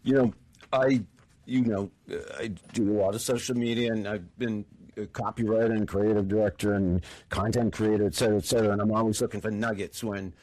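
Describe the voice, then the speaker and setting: A middle-aged man hosting a podcast, with a lowish voice. He talks enthusiastically, with lots of repeated words and restarts as he works his way into his questions. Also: fairly even intonation, brisk, darkish tone. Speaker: podcast host. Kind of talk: casual interview conversation.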